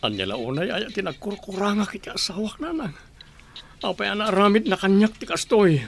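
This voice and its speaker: Heartbroken husband, very high-pitched male voice. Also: very animated, a little fast, vocal fry, a little hiss or hum, full character voice. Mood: bitter, defeated. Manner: anguished, pleading melodrama. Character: heartbroken, desperate husband and father James. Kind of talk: radio drama acting.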